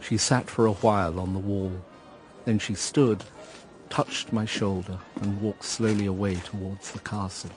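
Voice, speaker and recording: An actor playing a brooding, wistful man who narrates a radio drama in a measured, reflective way. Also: on the low side, steady tone, faint music behind, close to an everyday voice. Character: brooding, wistful male narrator looking back on lost love.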